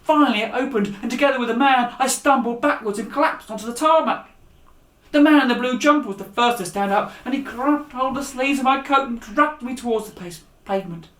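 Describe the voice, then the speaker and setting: A man reading a story aloud for young listeners as an author and storyteller, in an animated, direct way. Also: very high voice, fairly quick. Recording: echoey room.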